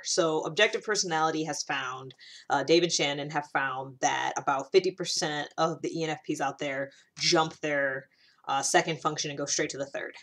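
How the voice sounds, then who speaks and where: A woman hosting a series as a casual solo explainer, talking informally and often breaking off into asides. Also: low voice. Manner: informal, rambling and self-interrupting, with frequent asides. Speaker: host of a personality-typing series.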